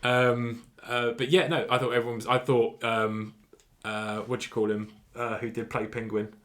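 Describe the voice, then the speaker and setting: A man's voice: mid-range voice, little pitch movement, normal speed, a bit bright. A man co-hosting a podcast, chatting casually about films, hesitant with frequent ums and restarts. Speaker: podcast co-host. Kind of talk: casual chat. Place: home kitchen.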